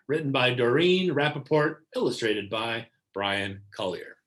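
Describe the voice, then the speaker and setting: A man reads a picture book aloud for children in a medium-pitched voice. His delivery is deliberate and scripted, with key words given clear emphasis. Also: expressive, a bit bright. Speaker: read-aloud narrator.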